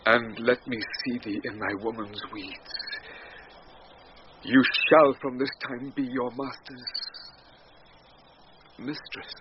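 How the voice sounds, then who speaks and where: An actor playing a noble, romantic duke, speaking in a stately, courtly way in classical radio drama. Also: male voice, mid-range voice, flat delivery, takes it slowly, long pauses, lightly acted. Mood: gracious. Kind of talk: classical Shakespearean radio acting. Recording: dull, noisy old radio broadcast.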